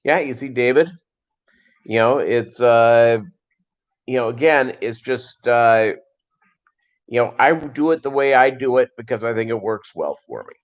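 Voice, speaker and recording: A man hosting a casual livestream, chatting and answering viewers in a rambling, halting, conversational way. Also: medium pitch. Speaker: livestream host. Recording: dull, lo-fi livestream audio.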